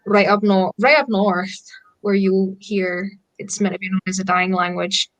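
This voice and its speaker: A woman's voice: medium pitch, steady tone, moderate pace, dull audio. A Scottish woman whose first language is Scottish Gaelic, chatting as a guest, laughing often and eagerly explaining words. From Scotland. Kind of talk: casual video call chat.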